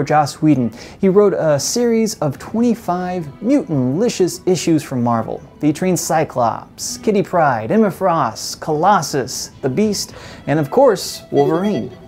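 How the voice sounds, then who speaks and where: A man's voice: a little high, a little mellow. Man reviewing entertainment, music behind. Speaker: video reviewer and host. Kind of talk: entertainment review, part to camera, part scripted narration. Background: background music.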